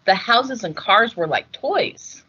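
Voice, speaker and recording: Bright-voiced woman reading aloud, slightly muffled recording. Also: fairly high pitch, expressive, slow pace, slight background noise. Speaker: read-aloud host. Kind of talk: scripted picture-book narration.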